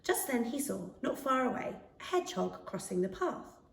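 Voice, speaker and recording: A woman reads a children's story with great expression. Her voice is fairly high and moves widely in pitch, and the room around it is clearly echoey. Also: sing-song, moderate pace, a little hiss or hum. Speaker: storybook reader. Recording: echoey room, distant microphone.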